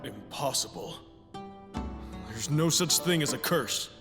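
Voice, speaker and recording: A man playing a troubled, excitable middle-aged professor in an audio drama, with theatrical delivery. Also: mid-range voice, slow, music in the background. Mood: troubled, weary.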